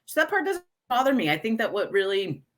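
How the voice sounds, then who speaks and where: A woman from Texas with an American accent, chatting casually as an interview guest in a chatty way, quick to laugh. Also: fairly high pitch, very animated. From Houston, Texas, United States. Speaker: guest, tequila brand ambassador.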